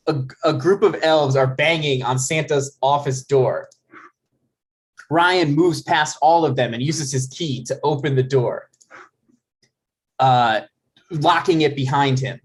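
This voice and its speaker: A man narrating stage directions at a table read of a comedy script. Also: fairly high pitch.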